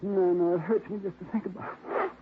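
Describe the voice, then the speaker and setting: An actor playing a fussy, excitable man in a 1950s radio drama. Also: sits high, brisk, background noise, close to an everyday voice. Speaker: dog owner reporting a theft. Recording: tinny 1950s radio broadcast.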